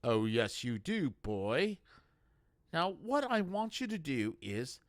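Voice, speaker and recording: A man's voice: medium pitch, big pitch swings, takes it slowly, no echo, studio quality. A man voicing a brash, mocking male god stuck as a tortoise, bossy and pushy.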